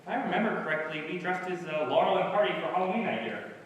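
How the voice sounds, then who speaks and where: A man's voice: high voice, sing-song, quick pace. An actor playing a loyal comedy writer in his thirties in a stage play, with a hesitant, understated, sincere manner. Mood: teasing. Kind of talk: one-act stage play dialogue. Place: large reverberant hall, far from microphone. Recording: distant, echoey hall with background noise.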